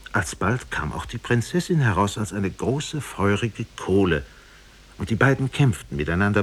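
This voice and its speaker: A man's voice: deep voice, slightly rough. A man narrating a fairy tale in a solemn, dramatic 1950s radio storytelling style.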